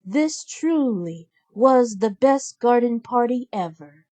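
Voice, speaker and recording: Kind, wistful young heroine for a children's storybook, played by a woman. Tender, earnest, unhurried. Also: a little high, animated, warm tone, voice pushed up. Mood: tender, happy. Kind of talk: children's storybook read-aloud.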